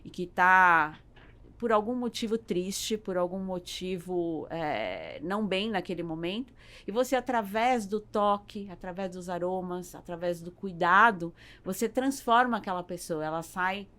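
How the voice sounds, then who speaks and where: A woman with a Brazilian accent answering as a podcast guest in a conversational, halting way, with repeats and tag questions. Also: fairly low pitch, lively intonation, a bit bright. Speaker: podcast guest, salon business owner.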